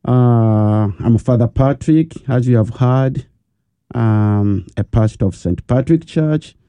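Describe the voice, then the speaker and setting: Ugandan priest guest, slow and easy, really dark soft voice, dry studio. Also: male voice, medium pitch, clear, resonant tone, no room sound. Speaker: pastor, guest. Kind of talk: radio interview. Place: radio studio.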